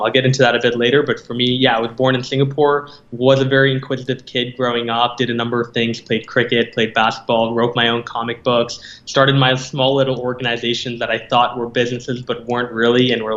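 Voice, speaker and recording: A man's voice: medium pitch, a bit bright. Young man's guest voice over a dull, echoey line. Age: 20s. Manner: easygoing, conversational storytelling. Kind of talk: podcast interview.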